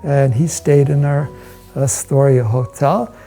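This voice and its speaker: Mellow, creaky-voiced American man. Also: mid-range voice, faint music behind. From United States. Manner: measured, halting delivery in short phrases. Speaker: hotel owner and investor. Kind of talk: promotional interview, personal recollection. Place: indoors, hotel suite.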